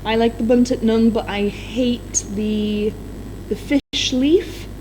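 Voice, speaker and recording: A young woman, an English teacher, chatting casually on a live stream with quick laughs and frequent ums. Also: on the high side, fairly even intonation, dark tone, slight background noise, low-quality recording. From United States.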